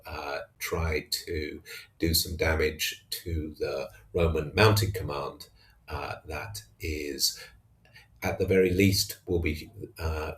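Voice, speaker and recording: Male wargame commentator, unscripted and hesitant, low pitch, unhurried pace. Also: a bit bright. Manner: hesitant, halting delivery. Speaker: tabletop wargamer narrating own battle report. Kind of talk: unscripted match recap commentary.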